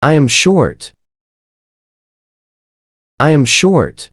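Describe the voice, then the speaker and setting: Very slow, careful pronunciation model. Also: mid-range voice, very animated, husky, no room sound, studio quality. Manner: says each short phrase twice, carefully. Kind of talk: slow phrase drills.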